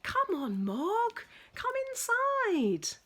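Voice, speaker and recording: A woman's voice: very high-pitched, wildly swooping pitch, extremely slow, pushed and bright, pitched above the performer's own voice, heavily acted. A woman voicing a caring, earnest young child in a children's storybook, calling out eagerly. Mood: eager concern. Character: caring, eager young children worried about their cat.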